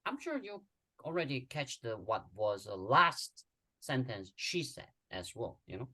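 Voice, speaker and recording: Slow-spoken, encouraging woman tutor. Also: extremely low pitch, sing-song, pushed and bright. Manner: encouraging, coaxing, repeats herself. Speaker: Korean language tutor. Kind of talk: online tutoring lesson.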